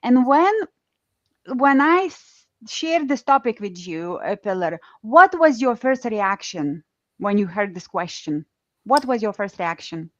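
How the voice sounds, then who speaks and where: A woman's voice: on the high side, sing-song, unhurried, no echo, muffled recording. A woman with an Eastern European accent hosting a casual conversation, talking freely with many restarts and fillers. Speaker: podcast host.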